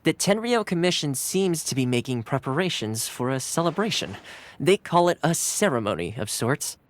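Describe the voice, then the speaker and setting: A man's voice: on the high side, big pitch swings, moderate pace. An actor playing a cheerful young male retainer in a video game, easygoing and polite, with a playfully boastful streak. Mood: amiable. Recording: game audio with soft music behind.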